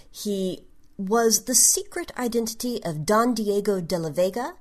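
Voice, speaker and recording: A woman presenting a prepared podcast talk on history in a measured, explanatory manner. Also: mid-range voice. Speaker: genre history segment presenter.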